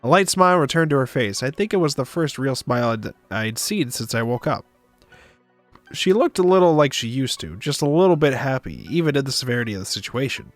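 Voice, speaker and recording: A male streamer reads story narration with casual asides. His mid-pitched voice moves in a lively range and is recorded close and dry. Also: fairly quick, dry recording, soft background music.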